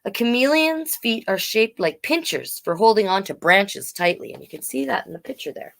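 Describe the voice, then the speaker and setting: A woman reads a picture book to children with a very animated, swinging intonation at a medium pitch. She is playful and expressive, slipping from the reading into chatty little explanations for her young listeners. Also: moderate pace, creaky. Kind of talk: storytime read-aloud with chatty asides.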